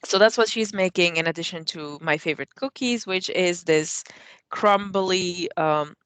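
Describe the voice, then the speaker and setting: A woman's voice: fairly low pitch, unhurried, fairly bright tone, dry recording. A young woman from Afghanistan co-hosting a casual podcast from her living room, chatting with many fillers and restarts. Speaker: co-host. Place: living room at home, kitchen nearby.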